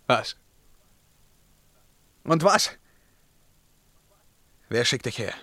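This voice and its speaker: An actor playing a tormented, world-weary emperor in a German verse radio drama, declaiming between outcries and hushed murmurs. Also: male voice, mid-range voice, expressive, drawn-out words, no echo, full character voice. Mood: uneasy, suspicious.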